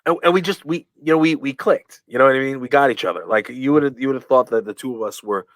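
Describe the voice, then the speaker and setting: A man being interviewed on a podcast, telling stories in a rambling way, full of fillers and restarts, and acting out quoted lines with lively voices. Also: medium pitch, fast talker, no echo. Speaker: guest, professional wrestler. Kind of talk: casual storytelling in a podcast interview.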